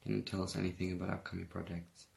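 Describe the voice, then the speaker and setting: A man's voice: low voice, level tone, rapid-fire, gravelly, a little mellow. A young man with a German accent, chatting casually on a phone livestream and reading out comments in a laid-back, rambling way. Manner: laid-back, rambling, reads comments aloud, laughs often. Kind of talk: casual chat.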